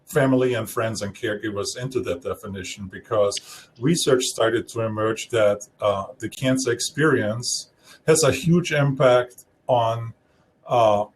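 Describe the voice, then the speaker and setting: A man's voice: lowish voice, a little hiss or hum, low-quality recording. Austrian-accented man explaining steadily. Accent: Austrian German. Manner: explanatory, measured, some restarts. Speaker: guest speaker. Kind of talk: live-streamed talk.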